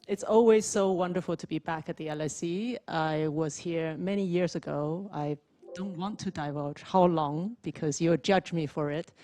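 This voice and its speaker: Woman, 40s, from Zimbabwe, panel speaker; low and warm, chatty, self-mocking. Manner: self-deprecating, chatty, many fillers. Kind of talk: informal presentation.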